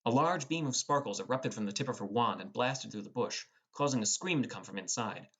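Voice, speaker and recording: A man's voice: medium pitch, a little fast, fairly bright tone, husky. A man reading first-person scripted narration of a fantasy story as an audiobook narrator.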